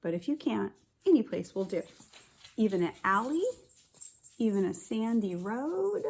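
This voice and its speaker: Woman, kindergarten teacher, husky and creaky voice, expressive picture book read-aloud, chatty asides. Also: mid-range voice. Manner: deliberate, expressive storytelling with stressed words.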